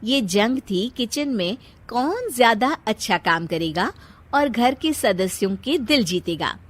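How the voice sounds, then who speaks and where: A woman's voice: on the high side, animated, a little slow. A woman narrating a scripted family story as a storyteller.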